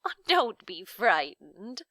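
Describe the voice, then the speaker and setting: A woman voicing a kindly, dignified old witch in a children's storybook, gentle, deliberate and formal in manner. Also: mid-range voice, sing-song, no room sound, heavily acted. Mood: calm, amused. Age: older. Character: kindly, dignified old lady, a not-so-wicked official witch. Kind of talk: children's storybook read-aloud.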